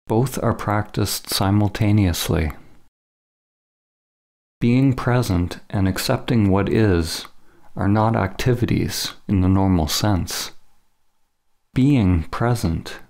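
A man narrating a scripted spiritual meditation as a teacher, in measured, deliberate phrases with short pauses. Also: on the low side, vocal fry, darkish tone.

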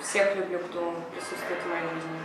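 A woman's voice: on the low side, flat delivery, moderate pace, very echoey, background noise. A woman in her twenties answering interview questions, thoughtful and hesitant. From Russia. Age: 20s. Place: indoors by a brick wall.

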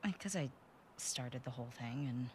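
A young female survivor in a video game, candid and teasing. Her voice is quite low and casual, with faint outdoor noise behind it. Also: animated, darkish tone. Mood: sheepish, teasing. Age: young adult. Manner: casual, halting. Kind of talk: naturalistic video game acting.

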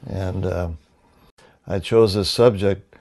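A man's voice: low-pitched, fairly even intonation, slow, vocal fry, darkish tone. An older man, an artist, informally explaining his background and work in a studio. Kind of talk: informal self-introduction and explanation. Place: indoor studio.

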